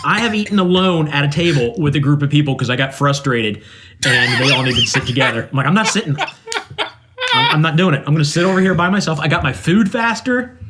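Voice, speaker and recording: A man's voice: fairly high pitch, fast, very noisy. A man in his late forties from Central Ohio, co-hosting a podcast in casual, joking, self-mocking chat. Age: 40s. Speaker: podcast co-host.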